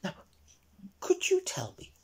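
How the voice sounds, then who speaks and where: A man's voice: a little slow, a little mellow, close to an everyday voice. A man voicing a polite, curious, earnest male elf in a children's storybook, courteous and a little tentative. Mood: politely asking. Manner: tentative, courteous, muttering to himself.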